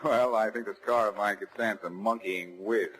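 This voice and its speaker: An actor playing a self-assured, wisecracking amateur sleuth in a 1940s radio crime drama, bantering and sardonic. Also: male voice, on the low side, fairly even intonation, normal speed, fairly bright tone, close to an everyday voice, low-quality recording. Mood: breezy. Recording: vintage 1940s radio recording.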